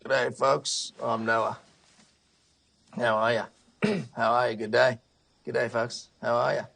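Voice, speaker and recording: A man's voice: medium pitch, fairly even intonation, takes it slowly, gravelly, a bit bright, full character voice. Man putting on a boastful, folksy Australian fellow. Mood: cheery. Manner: folksy, repetitive greetings. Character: cheery, boastful human boat-builder man, repeating greetings.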